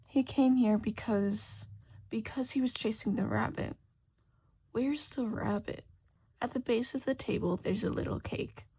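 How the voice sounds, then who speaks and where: A woman narrating fan fiction like an audiobook, expressive and at times dropping into a breathy near-whisper. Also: mid-range voice. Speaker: podfic narrator.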